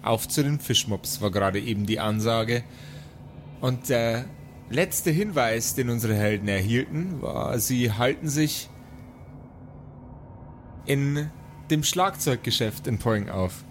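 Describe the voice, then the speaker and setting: A man's voice: medium pitch, animated, takes it slowly, slight background noise. A man improvising narration as game master of a tabletop game, with mock-formal storytelling phrasing. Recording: music bed behind the voice.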